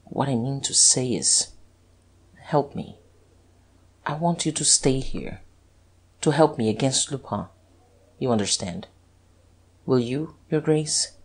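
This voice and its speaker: A suspicious storybook detective, as performed by a narrator, a man with a husky voice. He speaks haltingly and mutters, shaken yet stubborn. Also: medium pitch, very animated.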